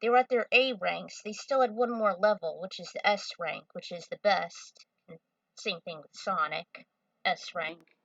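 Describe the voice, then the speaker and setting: A woman's voice: on the low side, a little slow, gravelly, fairly bright tone, dry recording, lo-fi sound. A woman narrating a fan story and commenting over game scenes in a dramatic storytelling style.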